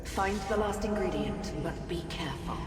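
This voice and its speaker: An actress playing a solemn, godlike female guide in a fantasy video game, speaking in a grave, measured, portentous way. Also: mid-range voice, close to an everyday voice. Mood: grave, foreboding. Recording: echoing reverberant voice, music behind.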